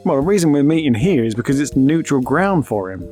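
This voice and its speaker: An actor playing an easygoing, shrewd space adventurer, speaking in a wry, conversational way. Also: male voice, medium pitch, animated, brisk, mellow, soft background music, lightly acted. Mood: explaining.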